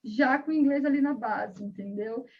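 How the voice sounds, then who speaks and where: A woman's voice: a little high, normal speed, breathy edge, highs cut off. A woman guest on a video call interview, speaking earnestly about raising a bilingual child, with many fillers and restarts.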